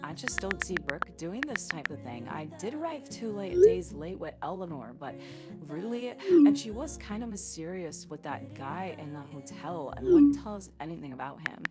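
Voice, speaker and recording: Lower female voice, loyal young friend acted by a woman, doubtful, hesitant, casual roleplay drama. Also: very animated, normal speed, music in the background. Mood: doubtful, puzzled.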